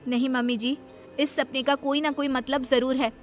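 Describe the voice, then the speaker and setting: A woman voicing a concerned young mother, questioning and soothing, in an animated family story. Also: sits high, normal speed, soft background music, voice pushed up, natural voice.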